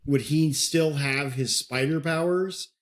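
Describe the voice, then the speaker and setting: A man's voice: a little high, slow. Man in his forties chatting casually. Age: 40s. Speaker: podcast co-host. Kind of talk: casual chat. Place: home room, on a group video call.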